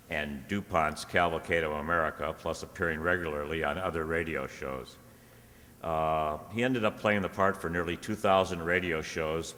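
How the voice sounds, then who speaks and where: A man's voice: low-pitched, bright, forceful tone, long reverb tail, a little hiss or hum. A man presenting an informative introduction to a show in an explanatory, loosely scripted way.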